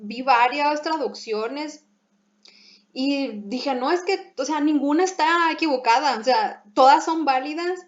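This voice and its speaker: A young woman with a Mexican accent chatting as host, lively, laughing often and restarting her phrases. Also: high voice, measured pace. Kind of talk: casual conversation. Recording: echoey room, dull lo-fi sound.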